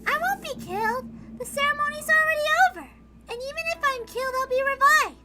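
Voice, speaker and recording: A woman's voice: extremely high pitch, fairly quick, bright, forceful tone, pitched above the performer's own voice. A woman voicing an eerie, smug little girl who speaks in a creepily untroubled way in a dramatic story reading. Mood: creepily serene.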